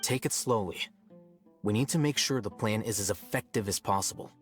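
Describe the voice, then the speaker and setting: A man playing an aloof, dry-witted young scholar in an anime game, deadpan, unhurried and a little condescending. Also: fairly low pitch, soft background music, natural voice. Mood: calm, measured.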